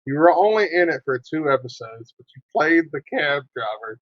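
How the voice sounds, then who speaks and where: A man's voice: a little high, animated, moderate pace, dry recording. Teasing male co-host on a dull mic. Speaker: podcast co-host. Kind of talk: casual interview chat.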